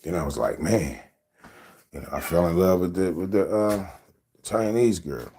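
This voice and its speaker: Deep-voiced man rambling to camera. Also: moderate pace, creaky, dark tone, slight background noise. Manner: loose and rambling, laughs often. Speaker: vlogger. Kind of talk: casual storytelling to camera.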